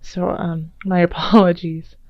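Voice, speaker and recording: A woman reading tarot cards in a casual, rambling way, her voice raspy and a little hoarse from a recent illness, with many fillers and restarts. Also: on the low side, flat delivery, a little slow, no room sound, dull audio. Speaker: tarot reader.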